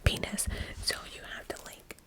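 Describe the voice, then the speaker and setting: A woman's voice: normal speed, sharp tone, no echo. Whispering woman in her forties. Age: 40s. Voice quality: unvoiced whisper throughout. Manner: candid, frank, laughs at herself, many asides. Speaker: YouTuber telling a story. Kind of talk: whispered ASMR storytime.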